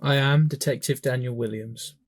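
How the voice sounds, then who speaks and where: A man acting in an audio drama as an accused detective, defiant and deliberate in insisting on his innocence. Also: medium pitch, steady tone, natural voice. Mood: solemn.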